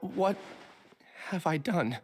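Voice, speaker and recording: A woman's voice: fairly low pitch, animated, slow pace. A woman playing a troubled, hesitant young student in a video game, speaking in an unsure, sighing manner. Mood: distressed, confused. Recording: faint music behind. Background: game music.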